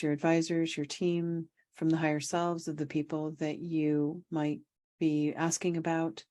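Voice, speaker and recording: A woman leading a guided meditation as a coach, speaking calmly and without hurry, with pauses and gently repeated phrases. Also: deep voice. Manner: calm, unhurried, repetitive phrasing with pauses. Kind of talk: guided meditation, spoken instruction.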